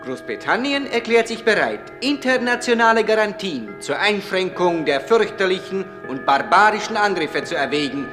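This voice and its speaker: A grave government spokesman proclaiming in a high, swooping voice. It is a formal radio-play declaration, ringing through a long hall reverb with loud music. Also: big pitch swings, moderate pace, few pauses. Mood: grave, official.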